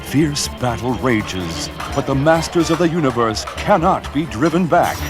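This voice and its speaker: A man's voice: mid-range voice, animated, measured pace, music in the background, lightly acted. A man playing the dramatic narrator of a heroic children's adventure, reading scripted story.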